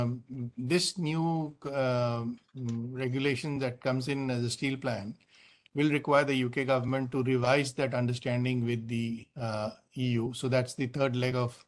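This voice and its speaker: A man speaking as a company executive on an earnings call, explaining in unscripted answers with many pauses and repeated words. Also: medium pitch.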